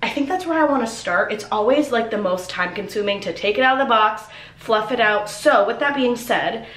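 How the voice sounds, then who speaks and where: A woman's voice: fairly high pitch, animated, brisk, a bit bright. A woman with a stuffy, congested nose, chatting upbeat as a lifestyle vlogger while decorating her apartment kitchen. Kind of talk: casual decorating vlog chat. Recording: roomy echo.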